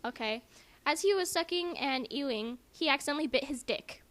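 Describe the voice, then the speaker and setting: A woman's voice: high voice, lively intonation, brisk, fairly bright tone. A squeamish woman reading aloud casually for a comic dramatic reading, breaking off to comment and sounding grossed out. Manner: squeamish, self-interrupting, grossed out. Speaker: amateur reader in a comedic dramatic reading.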